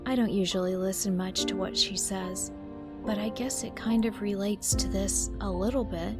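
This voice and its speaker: A woman's voice: mid-range voice, steady tone, normal speed, over music, lightly acted. An actress playing a guarded, troubled teenage girl who talks in a wry, dismissive way. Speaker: daughter.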